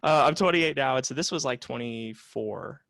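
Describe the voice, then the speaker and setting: A man's voice: medium pitch, moderate pace, creaky, a bit bright, no echo. A man in his late twenties, a psychotherapist, talking reflectively in a casual interview with plenty of fillers and restarts. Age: 20s. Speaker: psychotherapist guest.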